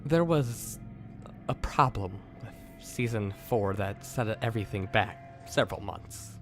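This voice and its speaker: A man's voice: on the low side, expressive, a little slow, soft background music. A man hosting and narrating a scripted creepypasta horror story. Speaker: host and narrator.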